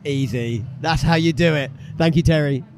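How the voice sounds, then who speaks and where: A man with a slightly hoarse voice, enthusiastically interviewing exhibitors at a business show. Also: on the high side, darkish tone, a little hiss or hum. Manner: enthusiastic, quick to praise. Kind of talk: upbeat vox-pop interviewing. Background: exhibitors packing up.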